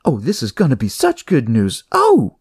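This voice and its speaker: A man acts out a big motherly nurse in an audio story. The voice is pitched high and swoops about, exclaiming with delight and showering endearments. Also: wildly swooping pitch, normal speed, husky, dry recording, clean studio sound, exaggerated voice. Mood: delighted, gushing. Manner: effusive, exclaiming, full of endearments.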